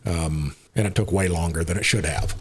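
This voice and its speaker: A man in his sixties with an American accent, chatting casually as a podcast guest in a rambling, unhurried way. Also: low voice. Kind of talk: casual conversational interview.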